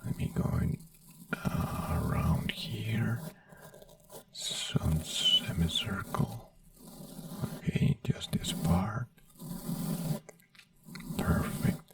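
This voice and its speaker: An ASMR creator in a doctor roleplay, whispering softly in slow, soothing, simple reassuring phrases. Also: medium pitch, huge pitch swings, dry recording, distant mic.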